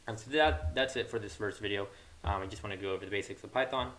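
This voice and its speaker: A man's voice: on the low side, fast, fairly bright tone, poor audio. A young man giving a casual coding tutorial, informally thinking aloud as he works. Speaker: programming tutorial host. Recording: hissy mic with keyboard clatter. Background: computer keyboard typing, hiss.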